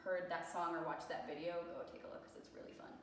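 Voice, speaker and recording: A woman's voice: on the low side, flat delivery, fast. A woman co-leading an idol group, reading out fan questions and answering them with upbeat enthusiasm. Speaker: co-leader and producer of an idol group. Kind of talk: casual Q&A chat. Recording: echoey room, distant mic, faint noise.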